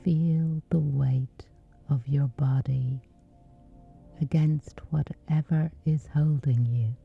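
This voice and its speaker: A woman with a whispery voice, slowly and gently guiding a sleep meditation. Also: low-pitched, steady tone, gravelly, phone-quality sound, faint music behind. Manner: slow, soothing, unhurried. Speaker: meditation guide.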